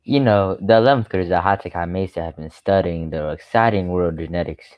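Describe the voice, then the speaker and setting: A man's voice: sits low, fast talker, clear, resonant tone, no room sound, muffled recording. A man reading scripted announcements as a radio show's announcer.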